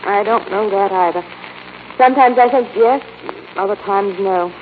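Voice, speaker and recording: A woman's voice: medium pitch, moderate pace, lightly acted. An actress playing an anxious, earnest young woman in a 1940s radio mystery, with dramatic, emphatic delivery. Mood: worried. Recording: muffled 1940s radio broadcast with hiss.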